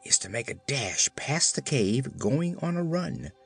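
A living scarecrow from a fairy tale, read by a male narrator, earnest and practical. The voice is husky and mid-pitched, with lively swings and a plucky, matter-of-fact way of talking. Music sits behind it. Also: measured pace, exaggerated voice. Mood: earnest, planning.

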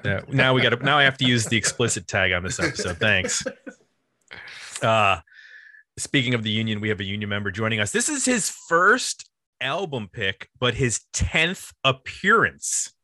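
Bright-voiced, nasal Buffalo man hosting. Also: mid-range voice, animated, moderate pace, dry recording. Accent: Buffalo, New York. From US East Coast. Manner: joking, chatty, digressive. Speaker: podcast host. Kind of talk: casual music podcast chat.

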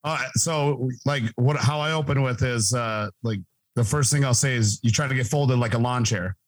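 A man in his thirties hosts a casual podcast, cracking jokes and wandering through his thoughts with plenty of "uh" and "like." His voice sits at an ordinary middle pitch. It is picked up close and dry, with no room sound. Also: moderate pace, dry recording. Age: 30s. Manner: joking, rambling, full of fillers. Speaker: podcast host. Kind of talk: casual chat.

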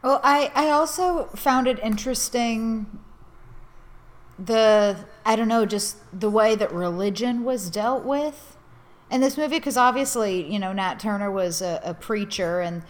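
A woman's voice: on the high side, unhurried. A woman co-hosting a casual film review podcast, building her point step by step in a thoughtful way. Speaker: podcast co-host.

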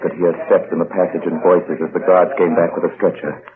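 An actor playing a grave prisoner who recounts his escape in intense, deliberate first-person radio narration. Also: low-pitched, level tone, crowd noise, close to an everyday voice. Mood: grave, tense. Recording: muffled old radio recording, faint hiss.

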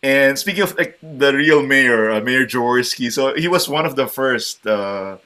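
Bright-voiced man from the Philippines. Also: medium pitch, animated, measured pace. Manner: hesitant, many fillers and restarts, laughs often. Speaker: guest. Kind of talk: casual interview chat.

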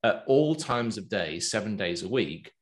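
A man with an English accent hosting a business chat, talking in a lively, loose way with plenty of fillers. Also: on the low side, measured pace. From England. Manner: animated, talkative, loose with fillers. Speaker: podcast host and business coach.

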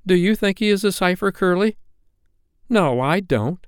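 A man's voice: sits high, expressive, moderate pace, smooth, no echo, clean studio sound, pitched above the performer's own voice. A man voicing eager, polite young boys, earnest and loyal, in a children's storybook reading.

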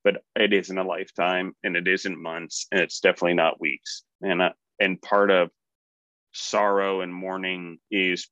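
Deep-voiced man, motivational podcast talk. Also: level tone, moderate pace, bright, forceful tone, dry recording, highs cut off. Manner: motivational, rambling with fillers and restarts. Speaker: podcast co-host. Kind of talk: casual podcast talk on leadership.

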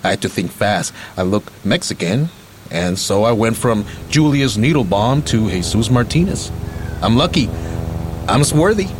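Man playing low-voiced, swooping streetwise ex-con uncle. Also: animated, normal speed, noisy background, lightly acted. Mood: boastful, playful. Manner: rambling, candid, streetwise. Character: rambling, streetwise ex-convict uncle, boastful but affectionate. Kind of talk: naturalistic radio play acting.

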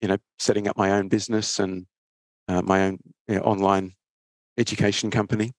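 Man, Australian accent, lowish pitch, interview guest, casual conversation, halting restarts, very dry room-free sound. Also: little pitch movement, no room sound, rough recording. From England, then Australia from age thirteen. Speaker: interview guest, trading educator.

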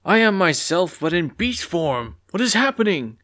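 A man's voice: high-pitched, big pitch swings, normal speed, pushed and bright, pitched above the performer's own voice, full character voice. A man voicing a werewolf who is astonished to find he can talk. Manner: amazed exclamations. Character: startled werewolf newly able to talk.

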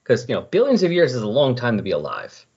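A man with a husky voice chatting casually on a podcast, explaining and recapping in a rambling way. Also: medium pitch, huge pitch swings, quick pace, a little mellow. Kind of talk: casual comic book discussion. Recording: dull lo-fi voice recording.

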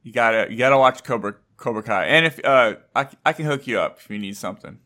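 Bright-voiced rambling man in his thirties. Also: mid-range voice, expressive, quick pace. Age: 30s. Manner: profane, rambling, restarts a lot. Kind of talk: casual chat.

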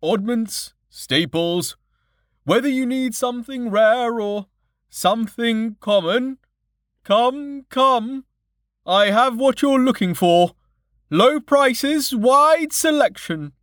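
A man's voice: extremely high pitch, expressive, slow, fairly bright tone, no echo, clean studio sound, voice pushed up, heavily acted. A man voicing a cheerful, wily merchant father in an audiobook, fond but firm, with a coaxing showman's manner. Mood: hawking, showy.

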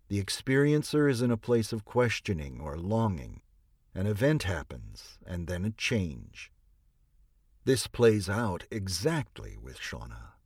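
A man reading a scripted audiobook narration in a measured, expressive storytelling way. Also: fairly low pitch, husky, dry recording, clean studio sound.

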